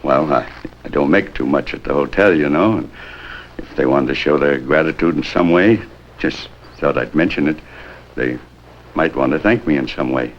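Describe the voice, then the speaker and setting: A man's voice: rumbling low, moderate pace. A man playing a wheedling, money-minded hotel desk clerk in a 1950s American police drama, hedging and ingratiating as he talks. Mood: defensive, ingratiating. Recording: dull, noisy 1950s film soundtrack.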